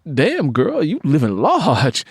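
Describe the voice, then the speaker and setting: An eager, obliging handyman from an audiobook story, as a male narrator plays him. He is casual and a little bashful, with a husky tone and animated rises in pitch. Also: slow pace, no echo, studio quality, full character voice. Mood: impressed. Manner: hesitant starts, casual and obliging. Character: eager, good-natured, slightly bashful handyman.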